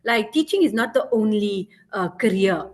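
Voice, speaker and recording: A woman with a South African accent speaks as a guest on a casual podcast. She pauses often and fills the gaps with ums and "you know". Her voice sits at an ordinary middle pitch. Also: lively intonation. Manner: hesitant, frequent ums and "you know" fillers. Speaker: guest, teacher working abroad.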